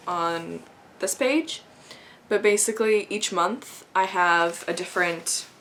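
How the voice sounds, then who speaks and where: A woman's voice: on the low side, gravelly, bright, forceful tone. Young woman rambling on to camera, laughs a bunch, casual vlog chat. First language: English. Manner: chatty, rambling, laughs often. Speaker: vlogger.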